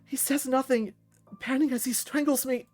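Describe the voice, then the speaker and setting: A woman's voice: high-pitched, fairly even intonation, moderate pace, a little mellow, faint music behind. A woman reading a visual novel aloud as dramatic scripted narration. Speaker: visual novel reader and voice performer.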